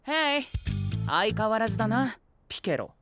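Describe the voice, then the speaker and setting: Flamboyant, high-voiced gentleman knight. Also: sing-song, normal speed, soft background music. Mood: proud, grandiose. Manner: theatrical, pompous, peppers speech with English exclamations. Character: flamboyant, self-styled gentleman young male knight. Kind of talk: anime audio drama acting.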